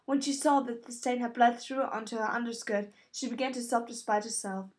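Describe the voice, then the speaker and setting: A woman's voice: on the high side, steady tone, fairly quick. A woman reading scripted fiction as an audiobook narrator.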